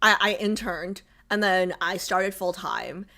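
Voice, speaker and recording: A woman's voice: medium pitch, measured pace, pushed and bright. A young adult woman streamer chatting casually with her viewers, bubbly and full of fillers. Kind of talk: casual chat with live chat.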